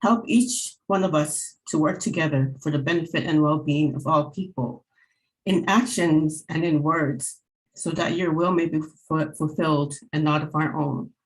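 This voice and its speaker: A woman from American Samoa with a Samoan accent, Samoan her first language, reading a prepared webinar statement in a measured, deliberate way. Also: deep voice, poor audio. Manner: measured, deliberate, pausing between listed words. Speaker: federal agency employee.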